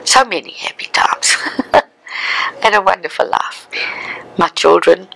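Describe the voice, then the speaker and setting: South african woman, 60s, lowish gravelly voice, telling her life story in an interview. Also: unhurried, a bit bright, whispered, rough recording. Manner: reflective, conversational storytelling. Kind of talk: oral history interview.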